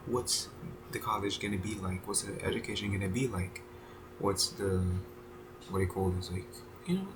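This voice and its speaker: A man in his twenties thinking aloud in a casual video journal, halting with many fillers, restarts and casual swearing. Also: fairly low pitch, creaky, noisy background. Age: 20s. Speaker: vlogger.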